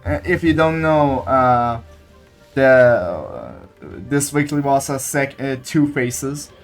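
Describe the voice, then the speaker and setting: A man giving casual, excitable gameplay commentary, often repeating his words. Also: medium pitch, slow. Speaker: gamer commentating play. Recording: game music behind. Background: video game music.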